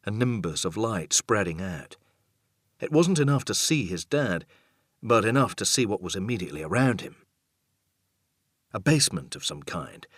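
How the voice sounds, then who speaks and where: A man reading a dark thriller audiobook as scripted narration, measured and suspenseful. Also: deep voice, breathy edge, no room sound, clean studio sound.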